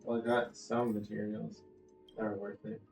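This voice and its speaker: A man's voice: medium pitch, sing-song, normal speed, gravelly, darkish tone. A man giving casual, offhand gameplay commentary, thinking aloud as he plays. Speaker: gaming video host. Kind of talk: casual game playthrough commentary. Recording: echoey, faulty audio over game music.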